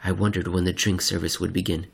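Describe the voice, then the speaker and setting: A man reading a scripted comic science-fiction story in the first person, with a dry, deadpan, wordy delivery. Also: sits low, quick pace, creaky, breathy edge. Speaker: narrator.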